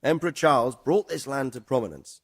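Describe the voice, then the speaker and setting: An actor playing a middle-aged, protective noble father who gives measured, instructive advice in a game. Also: mid-range voice, lively intonation, fairly bright tone, husky. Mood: explaining. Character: protective, wise noble father advising his son. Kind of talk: dramatic game cutscene acting.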